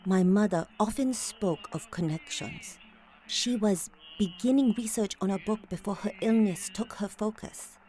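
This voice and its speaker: A woman playing a poised, probing client in a noir audio drama, speaking formally with measured, precise phrasing. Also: mid-range voice, mellow, close to an everyday voice. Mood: measured, earnest.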